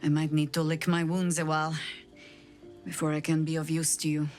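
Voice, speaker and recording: A battle-worn woman in a fantasy game, played by an actress talks with guarded, wry understatement. Her intonation stays quite flat, with soft music beneath. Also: deep voice, a little fast, lightly acted. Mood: weary, wry. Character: weary wounded female companion, wry and guarded. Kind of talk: video game voice acting.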